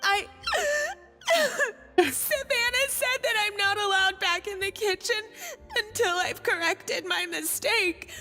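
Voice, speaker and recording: A flustered young cook, high-pitched and stammering, always on the verge of tears. Her apologetic voice sits in echoey game audio with faint music beneath. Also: slow pace, bright, forceful tone, exaggerated voice. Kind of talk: video game voice acting.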